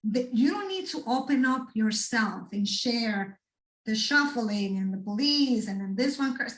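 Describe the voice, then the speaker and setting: Woman giving an animated talk. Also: a little high, unhurried. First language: Spanish. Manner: animated, addresses the audience directly with frequent "right?". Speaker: speaker at a student workshop. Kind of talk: motivational talk to students. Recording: echoey room, mic far off.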